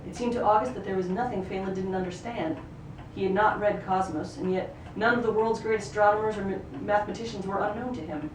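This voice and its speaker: Female reader for literary fiction, expressive third-person storytelling, recorded off-mic in a roomy space. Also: mid-range voice, brisk, hardly any pauses. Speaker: actor reading a book excerpt. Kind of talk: live literary reading, third-person narration. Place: live reading in a roomy venue. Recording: roomy, distant microphone, noisy ambience.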